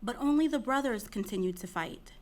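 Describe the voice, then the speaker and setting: A woman's voice: fairly high pitch, lively intonation, fairly quick, lightly acted. A woman playing an urgent chorus member, vividly declaiming battle verse in a Greek tragedy. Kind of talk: dramatic reading of Greek tragedy.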